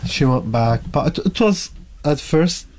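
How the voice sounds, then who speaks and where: A man's voice: on the high side, animated, a little mellow. A man from Syria, recalling his story casually as a guest, searching for words in English. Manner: halting, searching for words in non-native English. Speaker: interview guest telling personal story. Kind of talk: casual conversational recollection.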